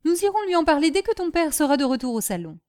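A fretful lady of the house in a storybook , put on by a woman narrator. Her voice sits very high, and she speaks in a dramatic, plaintive, high-strung way. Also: sing-song, quick pace, darkish tone. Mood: worried, kindly.

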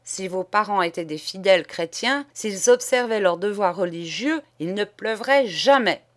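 A woman's voice: medium pitch, animated, normal speed, natural voice. A woman voicing an agitated old male parish priest who preaches hellfire and sputters with outrage. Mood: preaching.

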